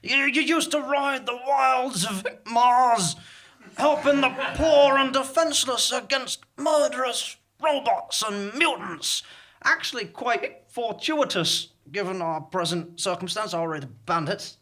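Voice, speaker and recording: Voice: mid-range voice, measured pace, fairly bright tone, lightly acted. A performer playing a drunken, disillusioned, self-mocking frontier lawman on stage, wordy and theatrical, in a comic sci-fi western. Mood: astonished, excited.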